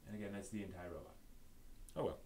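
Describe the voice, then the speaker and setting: A man's voice: deep voice, fast. A man hosting a live stream, chatting casually and off the cuff in a rambling way that trails off. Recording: noisy room background.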